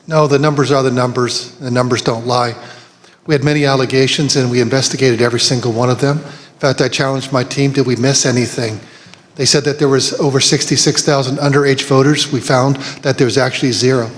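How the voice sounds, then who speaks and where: A man's voice: medium pitch, fairly even intonation, fairly quick, a little hiss or hum. A man giving testimony at a hearing, answering firmly and methodically, listing figures one by one. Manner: firm, methodical, lists figures. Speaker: testifying official. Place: large hearing room. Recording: long hall reverb with faint ambience.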